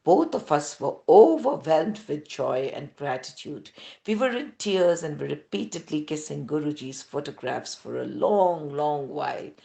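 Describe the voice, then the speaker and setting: A woman with an Indian accent reading a devotional testimony aloud as scripted narration, in an expressive storytelling manner. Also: sits low, measured pace, vocal fry.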